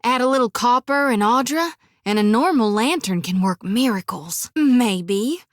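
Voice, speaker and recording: A young woman voicing a devout, earnest human priestess in a fantasy game, talking in a folksy rural way and at times sinking to a hushed whisper. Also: a little high, animated, a little slow, no room sound, studio quality. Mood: folksy, friendly. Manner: rural folksy drawl with "reckon" and "ain't". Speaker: companion character in a fantasy role-playing game. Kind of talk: video game voice acting. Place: dry recording booth.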